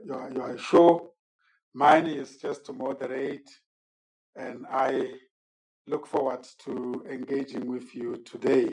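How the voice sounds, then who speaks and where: A man hosting a formal podcast interview. He is courteous and measured, often repeating words as he introduces his guest. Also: mid-range voice, darkish tone, low-quality recording. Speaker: host and moderator.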